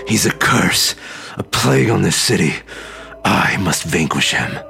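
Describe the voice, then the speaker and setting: An actor playing a grave, vengeful hunchback hero in a radio drama, speaking with intensity. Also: male voice, sits low, big pitch swings, measured pace, creaky, bright, forceful tone, full character voice. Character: determined, vengeful hunchback hero hunting a phantom.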